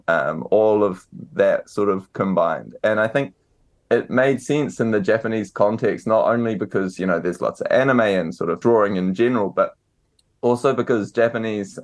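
A young man with a New Zealand accent talks in a low, deep register. He answers in a loose, conversational way, circling back with ums and restarted phrases as he thinks. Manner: hesitant, many ums and restarts. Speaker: author and guest. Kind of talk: casual interview answers.

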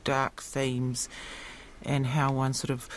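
A man speaking as a theatre director in an interview, reflective, searching for phrases in a halting way. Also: medium pitch, monotone, slight background noise.

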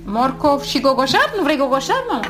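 A woman's voice: sits high, sing-song, fairly quick, over music. A woman talking casually with a child in a home kitchen.

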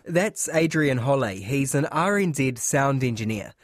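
A man's voice: mid-range voice, lively intonation, measured pace, a little hiss or hum. A man narrating and interviewing as a podcast host.

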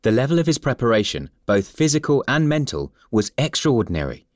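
A man reading a scripted story as narrator, in a measured storytelling manner. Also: mid-range voice, big pitch swings, dry recording, clean studio sound.

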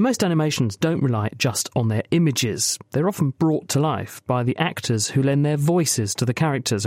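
A man in a mid-range voice presents a science programme, moving smoothly between scripted introductions and questions. His voice is close and dry, with no room sound. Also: medium pitch, a little mellow, no room sound. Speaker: radio science show host.

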